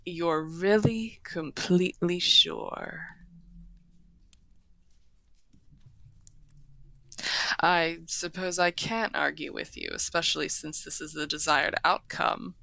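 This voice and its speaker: A woman playing a courteous, earnest half-dragon broker in a fantasy audio roleplay, speaking formally and with measured eloquence. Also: lowish voice, fairly even intonation, creaky, bright, forceful tone, heavily acted. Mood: flustered, surprised. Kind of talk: scripted fantasy audio roleplay, addressing the listener.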